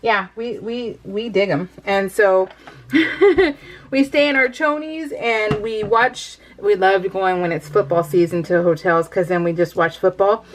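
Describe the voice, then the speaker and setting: A middle-aged woman talks casually to her viewers from her craft room at home. Her voice sits at an ordinary, mid-range pitch, and she rambles with plenty of fillers and laughs often. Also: a little slow, slight background noise. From California. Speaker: crafting vlogger.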